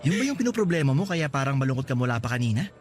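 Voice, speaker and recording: A man's voice: medium pitch, racing, soft background music, lightly acted. A man playing a cheeky, friendly young co-worker who jokes at his own expense in radio drama dialogue. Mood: playful, caring. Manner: playful, teasing, animated. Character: cheeky, friendly male co-worker, self-mocking joker. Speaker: drama voice actor.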